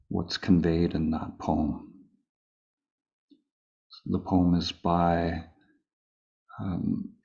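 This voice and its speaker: A man leading a guided meditation as a teacher, speaking calmly and unhurriedly with long pauses between phrases. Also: low voice, gravelly, lo-fi sound. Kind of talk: slow guided meditation, spoken live.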